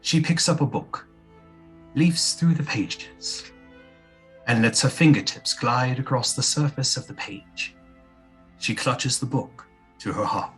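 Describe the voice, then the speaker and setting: A man's voice: mid-range voice, animated, slow pace, long pauses, fairly bright tone, faint music behind, rough recording. A man reading scripted stage directions as the narrator of an audio play.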